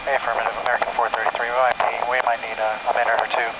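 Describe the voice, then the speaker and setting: Male airline pilot, informal radio replies, monotone and very fast through heavy noise. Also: mid-range voice. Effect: air traffic radio. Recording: very noisy cockpit radio transmission. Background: loud aircraft and radio noise.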